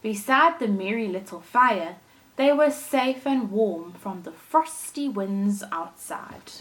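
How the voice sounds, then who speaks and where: A woman teacher reading a storybook aloud to young children, in a deliberate, expressive way with dramatic emphasis. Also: mid-range voice, poor audio. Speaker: primary school teacher reading to her class.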